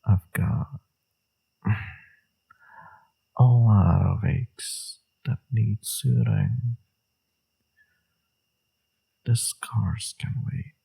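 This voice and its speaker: A man playing a flirtatious, teasing villain with a secretly tender side in an intimate romantic audio roleplay, his voice husky and murmured. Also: huge pitch swings, mellow, exaggerated voice, rough recording. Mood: seductive, pleading. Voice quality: low, husky, gravelly, breathy. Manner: slow, teasing, murmured delivery.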